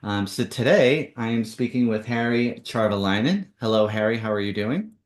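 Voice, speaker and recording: A man's voice: lowish voice, expressive, moderate pace. A man hosting a podcast, moving between a scripted introduction and interview questions, recorded with a roomy echo. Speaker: podcast host. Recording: roomy, echoing voice.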